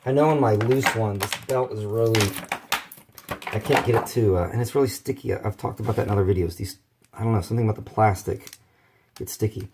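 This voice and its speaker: A hoarse man, rambling casually through a toy collector's unboxing video. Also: on the low side, brisk, heavy background noise. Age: 30s. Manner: rambling, many fillers and restarts. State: hoarse from bronchitis.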